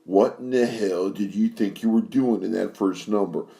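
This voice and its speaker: A man's voice: on the low side, moderate pace, gravelly, warm tone. A man voicing a huge, hot-tempered band leader who blusters and threatens. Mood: angry.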